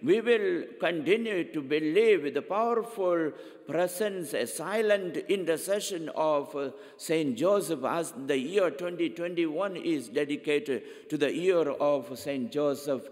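A middle-aged priest gives a sermon at a pulpit microphone, his fairly high voice carrying into the long reverb of a big church. He talks slowly and deliberately, circling back to repeat key phrases. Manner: slow, deliberate preaching with frequent repetitions. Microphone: pulpit microphone, far camera.

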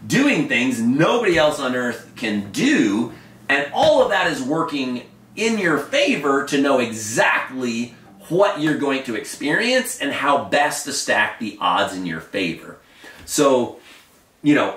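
Animated man in an echoey room. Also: mid-range voice, slow, recorded from a distance. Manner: animated, emphatic, gestures a lot with his hands. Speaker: paragliding instructor. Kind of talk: casual explainer to camera. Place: home office, indoors. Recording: echoey room, a bit off-mic.

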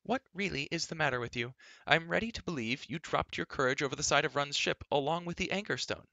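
A headstrong young storybook girl, as played by a male reader, chattering away in a raised, bright pitch. She is emphatic and quick to take offence, with an adventurous, bossy drive. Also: gravelly, no echo. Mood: indignant. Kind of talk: acted audiobook dialogue.

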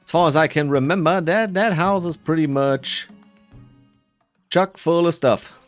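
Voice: mid-range voice, a little slow, faint music behind. A gaming creator giving casual playthrough commentary, rambling and muttering while thinking aloud.

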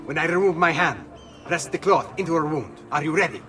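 An actor playing a suave, commanding Italian leader with an Italian accent in a video game. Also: male voice, a little high, brisk, bright, forceful tone, slight background noise. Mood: commanding, urgent. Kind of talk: video game cutscene acting.

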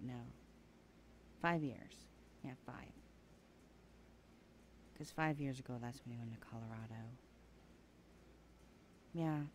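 A woman's voice: low voice, warm tone, slight background noise. A woman voicing a nervous but defiant younger sibling in an audio roleplay, hesitant and flustered. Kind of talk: amateur audio roleplay acting.

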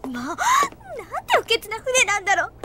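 A woman's voice: very high voice, huge pitch swings, moderate pace, very noisy, full character voice. A woman playing a bossy, hot-tempered little sister pirate girl, cool and scornful, in an anime audio drama. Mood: indignant. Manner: cool and scornful, bursting into indignation.

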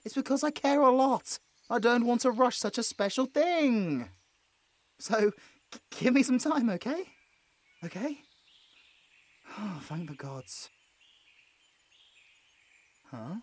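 A man's voice: very high voice, very animated, slow, long pauses, full character voice. A British man playing a nervous, squeamish young man who pleads and stammers his way through a roleplay audio drama. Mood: anxious pleading, then wary relief. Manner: stammering, flustered, exasperated.

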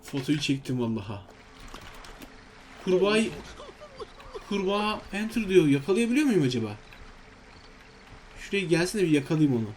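A man's voice: on the high side, big pitch swings, moderate pace, pauses often, a little mellow, faint music behind. A man giving casual, exclamatory commentary on a video game, talking to himself and to his viewers. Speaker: gaming video host.

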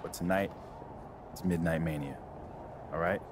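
A man's voice: low-pitched, steady tone, normal speed, close to an everyday voice. A man playing an earnest, motivating college basketball coach in a video game, speaking sincerely. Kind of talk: cinematic game dialogue. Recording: clear ambient noise behind the voice.

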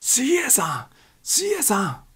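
A man's voice: very high-pitched, wildly swooping pitch, normal speed, fairly bright tone, breathy edge, pitched above the performer's own voice, heavily acted. A man voicing a frantic, frightened male airline passenger crying out urgently in an audiobook. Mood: panicked, urgent.

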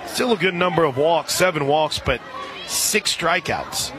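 A man calling softball play-by-play for radio in a conversational, folksy way, with asides between the calls. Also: on the high side, animated, a little slow, noisy background. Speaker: radio play-by-play sports announcer. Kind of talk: live softball commentary. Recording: crowd murmur behind broadcast voice. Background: outdoor stadium crowd.

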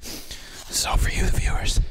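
A man's voice: normal speed, very noisy. A man whispering a casual, rambling, mock-spooky ASMR narration as he walks outdoors, recorded on a handheld shotgun mic. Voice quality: breathy whisper. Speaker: vlogger and ASMR creator. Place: outdoors in the woods, walking. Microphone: handheld shotgun microphone.

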